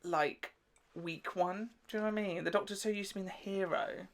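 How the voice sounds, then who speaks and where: A man with a light, high-pitched voice and plenty of creak talks casually as a reaction show co-host. He explains and recaps in a chatty way, dropping in little imagined quotes. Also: fairly even intonation, a little fast, a bit bright.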